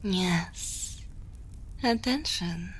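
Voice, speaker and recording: Very slow, soft-spoken evil queen played by a woman. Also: fairly low pitch, full character voice, rough recording. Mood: silky, intrigued. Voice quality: soft, breathy, often whispered. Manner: slow, deliberate, commanding, intimate. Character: dominant evil queen, possessive yet motherly, coldly tender. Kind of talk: ASMR roleplay. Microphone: close ASMR microphone.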